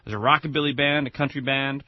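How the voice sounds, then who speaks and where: A man's voice: medium pitch, fairly even intonation, fast talker, no echo, lo-fi sound. A man co-hosting a radio show, chatting casually and enthusiastically, rambling with many restarts.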